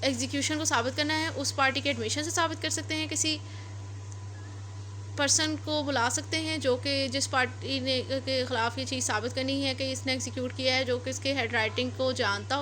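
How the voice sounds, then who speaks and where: A woman's voice: sits high, sharp tone, a little hiss or hum. A woman with a Pakistani accent giving an explanatory law lecture, repeating and rephrasing points step by step. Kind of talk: explanatory lecture mixing read-out English legal text with Urdu explanation.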